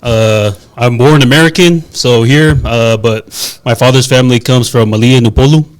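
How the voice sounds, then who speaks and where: A man appearing as a guest dancer on a talk show, introducing himself in a steady, plain-spoken way. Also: medium pitch, moderate pace. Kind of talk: casual interview.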